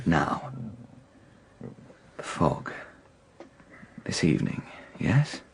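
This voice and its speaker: Reckless young gambler, wildly swooping voice. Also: male voice, very deep voice, sing-song, extremely slow, vocal fry, slight background noise, full character voice. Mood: feverish, insistent. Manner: agitated, erratic, pressing, repeats himself. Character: reckless indebted young gambler from a wealthy family, insistent. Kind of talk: radio drama acting.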